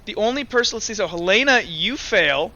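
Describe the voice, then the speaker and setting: A man's voice: sits high, animated, brisk, bright, forceful tone, lo-fi sound. A man improvising narration and rulings as the game master of a tabletop roleplaying game.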